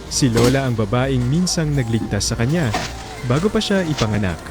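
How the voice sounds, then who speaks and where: A man's voice: mid-range voice, lively intonation, normal speed. A man with a Filipino accent narrating a scripted love story in a dramatic storytelling style. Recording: music bed under the voice. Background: background music.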